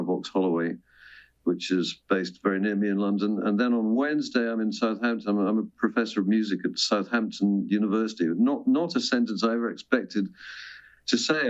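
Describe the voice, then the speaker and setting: A man talking casually as an interview guest, a composer and choir director who often restarts and corrects himself, heard over a muffled remote link. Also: lowish voice. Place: remote video link from London. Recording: dull, muffled remote-call audio.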